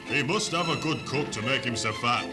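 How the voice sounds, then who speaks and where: A man's voice: mid-range voice, moderate pace, over loud music, lightly acted. A man playing a naive, whiny northern English son in his twenties in a 1970s hospital radio comedy. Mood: gormless. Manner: plaintive, gormless, exclamatory. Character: naive, whiny, dim 23-year-old son dreaming of fame.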